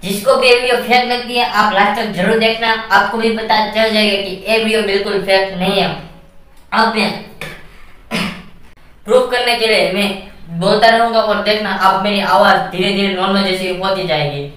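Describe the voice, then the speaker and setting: A young man talks casually to camera in a thin, helium-altered voice. His intonation is quite flat. The sound is distant and very echoey. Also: high-pitched, normal speed. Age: young adult. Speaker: video host. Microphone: camera mic a few steps away. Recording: very echoey room, off mic.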